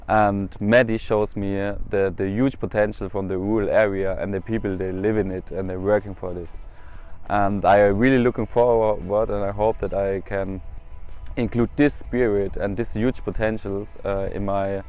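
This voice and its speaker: A man from Germany with a German accent, giving an informal testimonial in halting, rephrased non-native English. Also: on the low side, fairly even intonation, darkish tone, clear, resonant tone. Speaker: project participant, youth and adult educator.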